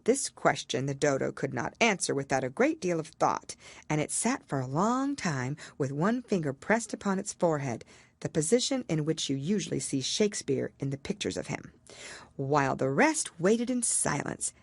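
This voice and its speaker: Female audiobook narrator, expressive storybook read with plenty of pitch movement, very dry recording. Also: normal speed, creaky, slightly rough, no room sound.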